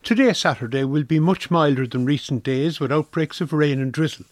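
A man's voice: a little high, fairly quick, no echo. A man with an Irish accent reading a scripted weather forecast for community radio.

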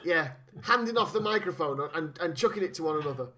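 A man's voice: a little high, fast talker. A man co-hosting a podcast, chatting casually and jokily about a film, swearing freely. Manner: jokey, animated, swears freely. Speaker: podcast co-host. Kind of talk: casual film-review chat.

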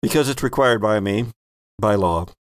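Low-voiced man running a tabletop game. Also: normal speed, no room sound, clean studio sound. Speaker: game master and host. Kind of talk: casual game mastering, explaining to players.

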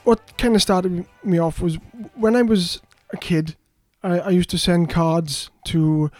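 Mellow man telling stories. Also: sits high, unhurried, no echo, soft background music. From South Wales. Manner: relaxed, anecdotal storytelling. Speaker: guest author. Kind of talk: casual interview. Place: podcast studio.

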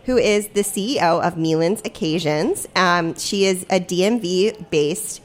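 A woman's voice: lowish voice, a little slow. A woman co-hosting a podcast, telling a story in a chatty, rambling way with frequent laughs. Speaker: podcast co-host. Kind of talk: casual chat, storytelling. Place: small glass-walled podcast studio.

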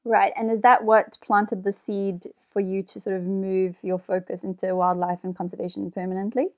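A woman's voice: mid-range voice, little pitch movement, normal speed, darkish tone. A woman hosting a podcast, reading a scripted intro and then asking friendly questions. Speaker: podcast host. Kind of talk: podcast interview.

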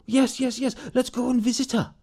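A man's voice: extremely high pitch, little pitch movement, normal speed, warm tone, breathy edge, pitched above the performer's own voice, full character voice. A man voicing a simple, kind young village man who stammers eagerly. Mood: excited.

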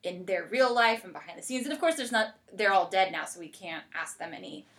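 A woman's voice: mid-range voice, expressive, a little fast, a bit bright. A woman hosting a podcast, chatting casually with a guest in very informal speech full of "like". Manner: informal, heavy use of "like". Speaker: podcast host interviewing a guest. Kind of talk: casual chat.

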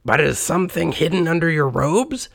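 A man's voice: fairly high pitch, sing-song, moderate pace, gravelly, fairly bright tone, no room sound, studio quality, voice pushed up, exaggerated voice. A man voicing an emotional old miser in a storybook, with theatrical delivery. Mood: fearful. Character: wicked old miser, frightened, pleading, repentant.

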